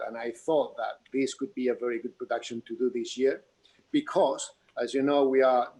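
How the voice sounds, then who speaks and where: Spanish-accented man, medium-pitched voice. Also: darkish tone. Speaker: theatre director. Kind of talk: Q&A discussion.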